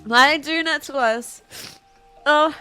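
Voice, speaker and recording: A woman's voice: high-pitched, slow pace, sharp tone, soft background music. A tearful, emotional woman streamer chatting casually as she reacts to a game. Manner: emotional, giggly, exclamatory. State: tearful from crying. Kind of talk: live playthrough reaction, casual chat.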